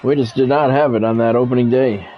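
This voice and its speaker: A man calling live play-by-play of a football video game in measured, short clipped announcer phrases. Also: medium pitch, steady tone, some chatter behind. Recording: dull lo-fi audio with music behind.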